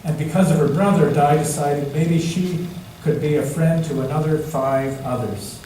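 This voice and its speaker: Male storyteller, slightly high-pitched, dramatic picture-book read-aloud with emphatic pauses, in a very reverberant hall. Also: mellow. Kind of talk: expressive read-aloud storytelling for all ages. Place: large indoor church space, heard from a distance. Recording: echoey church hall, distant mic, faint noise.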